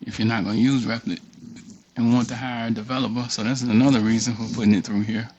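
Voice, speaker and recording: A man giving a casual screen-share walkthrough, thinking aloud in a halting mutter and reading text off the screen. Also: mid-range voice, flat delivery, darkish tone, slight background noise. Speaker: tutorial presenter. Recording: dull, muffled lo-fi audio.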